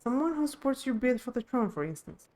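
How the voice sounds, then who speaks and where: A woman voicing a thoughtful, steadfast young villager in a fantasy game, measured and explanatory. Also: sits high, sing-song, darkish tone, voice pushed up. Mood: earnest, steady.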